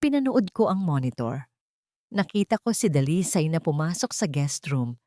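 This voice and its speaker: Audiobook narrator: Filipino woman, slightly low pitch, dry close sound, dramatic scripted delivery. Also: expressive, moderate pace, dark tone, no room sound.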